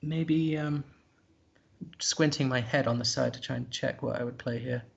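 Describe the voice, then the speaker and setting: A man's voice: mid-range voice, normal speed, creaky, highs cut off. A man commentating a live board game, thinking aloud in a hesitant, musing way.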